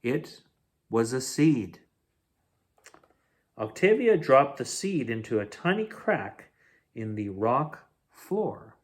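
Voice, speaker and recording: Animated man reading a bedtime story. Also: medium pitch, takes it slowly, long pauses. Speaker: children's bedtime story reader, host. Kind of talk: expressive picture-book read-aloud.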